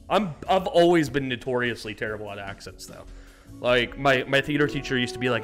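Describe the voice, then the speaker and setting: A young man chatting casually on a live stream from a small room, profane and excitable, bursting into loud outbursts. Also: mid-range voice.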